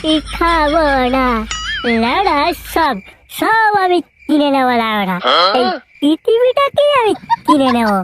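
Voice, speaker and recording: Squeaky, boastful cartoon drunk. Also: male voice, very animated, measured pace, heavy background noise, full character voice. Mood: drunken swagger. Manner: slurred, rambling, repetitive drunken talk. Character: boastful, foul-mouthed drunken man, full of swagger. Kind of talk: comic cartoon voice acting. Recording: music behind the cartoon voice.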